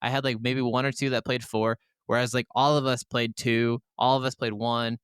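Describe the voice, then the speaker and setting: A man with a clear, smooth voice at an average pitch, recorded very dry. He talks like a podcast co-host in loose, casual conversation about gaming, with some swearing thrown in. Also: flat delivery, moderate pace, a bit bright, dry recording. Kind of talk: casual chat about video games.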